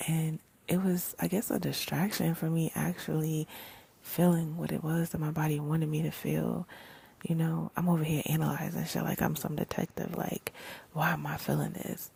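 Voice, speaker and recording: A woman giving a casual self-help talk in a soft, breathy whisper, swearing now and then. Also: deep voice. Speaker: vlogger.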